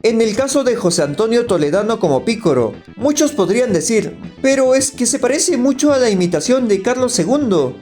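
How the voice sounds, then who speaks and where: A man's voice: very high-pitched, sing-song, a little fast, hardly any pauses, darkish tone. A man delivering scripted critical commentary in a voice-over. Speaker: video critic. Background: faint music.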